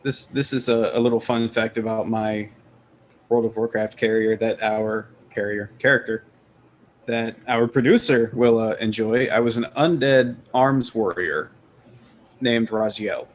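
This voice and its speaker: A man's voice: lowish voice, steady tone, unhurried, a little hiss or hum. A man co-hosting a casual gaming podcast, rambling with hesitant restarts. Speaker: podcast co-host. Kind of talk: casual chat.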